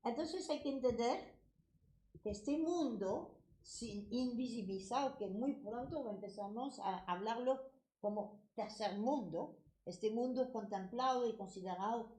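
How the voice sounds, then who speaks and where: A woman's voice: a little high, measured pace, warm tone, rough recording. A woman with a French accent giving a passionate political talk at a debate. She often restarts words and hesitates. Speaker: debate speaker.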